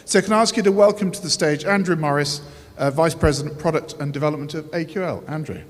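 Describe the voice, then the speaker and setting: A man's voice: fairly high pitch, fast talker, slight background noise. Upbeat Yorkshire man hosting on stage. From Leeds area, England. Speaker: event host. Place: large event hall. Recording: long hall reverb, faint ambience.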